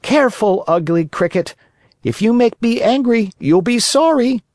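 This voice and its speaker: A storyteller voicing an impudent, quick-tempered wooden marionette boy in a storybook reading. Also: medium pitch, animated, a little mellow, no room sound, studio quality, pitched above the performer's own voice, lightly acted. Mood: cheeky defiant. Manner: cheeky, impatient.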